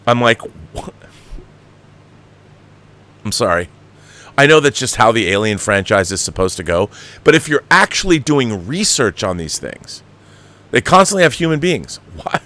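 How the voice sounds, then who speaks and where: A man hosting a film commentary livestream, talking casually and bluntly in an emphatic, halting, opinionated way, repeating himself for emphasis. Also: mid-range voice, sing-song, sharp tone. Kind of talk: casual opinionated rant, answering viewer comments.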